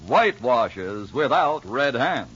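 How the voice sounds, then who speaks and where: Enthusiastic vintage ad man, animated and emphatic, slightly raspy, on a dull old broadcast. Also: medium pitch, slow. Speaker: commercial announcer. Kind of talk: 1950s advertising read. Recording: muffled vintage broadcast recording.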